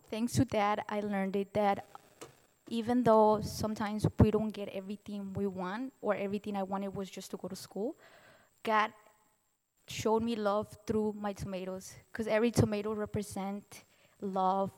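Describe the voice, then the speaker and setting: A young woman from Mexico, a Spanish native speaker, giving an earnest, heartfelt personal testimony as a student speaker, halting now and then to restart. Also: medium pitch, level tone, darkish tone. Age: young adult. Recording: distant voice in a reverberant hall.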